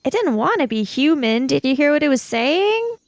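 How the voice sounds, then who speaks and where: Woman playing an anxious, creaky-voiced, high-pitched kid. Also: very animated, moderate pace, no room sound. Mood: questioning. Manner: rambling, questioning. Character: anxious, questioning kid in 1980s sci-fi mystery. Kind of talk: improvised in-character tabletop roleplay.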